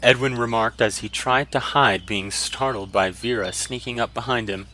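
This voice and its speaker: Bright-voiced male fantasy narrator over ambience. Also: mid-range voice, normal speed, a little hiss or hum. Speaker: audiobook narrator. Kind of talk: dramatic scripted fantasy narration.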